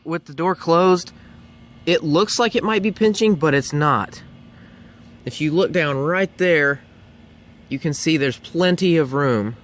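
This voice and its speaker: A man narrating a casual how-to video, explaining each step in a plain-spoken, informal way. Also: on the high side. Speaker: vlogger presenting a DIY tutorial.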